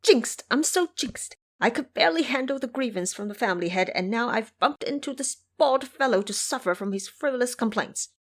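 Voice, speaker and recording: A woman's voice: on the high side, expressive, brisk, hardly any pauses. A woman voicing an irritable young village man, agitated and complaining, in an audio drama. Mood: furious.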